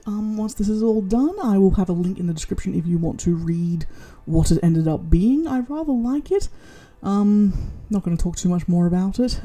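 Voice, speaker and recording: An Australian woman with a low, gravelly voice chats casually as she scores her own story. Her tone is dark and husky, and her pitch swings widely as she talks through her thoughts. She sounds self-deprecating and chatty. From Tasmania, Australia. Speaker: vlogger. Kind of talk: casual self-rating chat.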